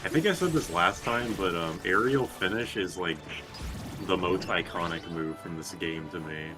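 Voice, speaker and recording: Deep voiced guy casually streaming a game, chatting away with music playing behind. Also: moderate pace. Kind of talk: casual game commentary chat.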